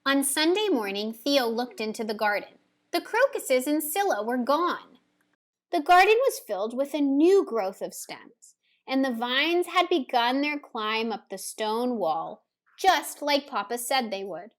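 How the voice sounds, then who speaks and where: A woman reading a children's picture book aloud with deliberate, careful phrasing for young listeners. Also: sits high, very animated. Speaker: storybook reader. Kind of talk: children's story read-aloud, scripted narration.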